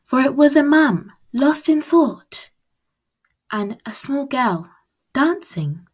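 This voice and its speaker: Slow, expressive woman reading a storybook. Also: high voice. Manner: expressive, deliberate phrasing. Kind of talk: children's picture book read-aloud.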